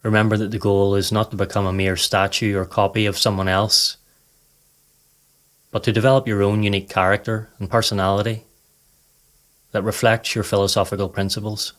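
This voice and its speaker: A man narrating a scripted guided meditation in a calm, measured, instructive way. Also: lowish voice. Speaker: podcast host and meditation guide.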